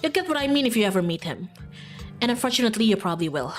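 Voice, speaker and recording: A woman's voice: medium pitch, very animated, racing, lightly acted. A woman voicing a friendly, easygoing young small-town woman in a visual novel, chatty and upbeat. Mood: easygoing, candid. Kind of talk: visual novel character reading. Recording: music in the background.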